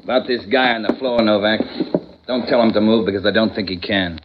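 An actor playing a tough, needling police inspector in a 1940s radio crime drama, terse and taunting. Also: male voice, fairly low pitch, a little fast, very noisy, natural voice. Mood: smug, needling. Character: smug, needling male police homicide inspector. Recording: dull, muffled 1940s radio recording.

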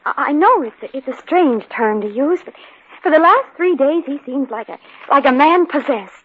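An actress playing an anxious wife, hesitant and expressive, in 1950s radio drama style. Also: female voice, high-pitched, moderate pace. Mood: worried. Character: anxious young wife fearing for her husband. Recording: tinny, noisy old radio recording.

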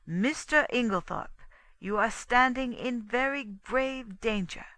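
A woman voicing a male detective in an audiobook, formal and menacing, making deliberate, pointed, dramatic pronouncements. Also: a little high, pushed and bright, no room sound, pitched above the performer's own voice, full character voice. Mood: pointed, grave. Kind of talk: dramatic audiobook character dialogue.

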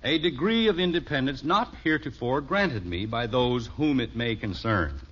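Husky, wry American radio dad. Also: male voice, mid-range voice, lively intonation, moderate pace, a bit bright. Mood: dry, bemused. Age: middle-aged. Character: wry, easygoing suburban father of teenagers. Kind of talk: 1950s radio sitcom acting. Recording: muffled old radio recording.

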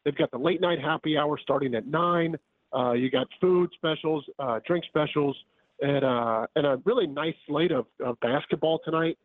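A slightly hoarse man calling in to a radio show, chatty and joking as he runs through promo details. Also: on the high side, normal speed, dry recording. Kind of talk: casual call-in chat. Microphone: phone. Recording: tinny phone line.

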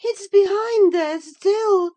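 A woman's voice: sits high, takes it slowly, mellow, pitched above the performer's own voice. A woman voicing a delirious, frightened young married woman in an audiobook of a classic novel, fretful, pleading and prone to gasping exclamations. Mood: terrified.

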